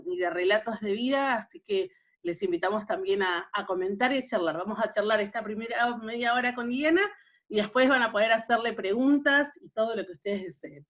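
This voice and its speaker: A woman hosting an online talk on a video call, welcoming and measured, with many filler pauses. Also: medium pitch, lo-fi sound. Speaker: host, moderator.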